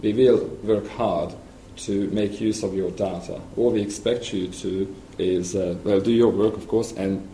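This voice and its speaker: A man with a Hungarian accent giving a conference presentation as a software engineer, hesitant and full of ums and restarts. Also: lowish voice, fairly even intonation, unhurried, few pauses, echoey room, slight background noise, poor audio.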